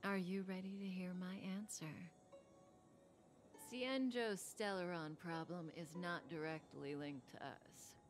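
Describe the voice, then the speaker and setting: An actress playing a composed, enigmatic outlaw woman in a video game, speaking softly and deliberately with teasing confidence. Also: lowish voice, fairly even intonation, soft background music, lightly acted. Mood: coy, intimate. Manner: deliberate, soft-spoken, teasing, coolly confident. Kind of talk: video game voice acting, scripted dialogue.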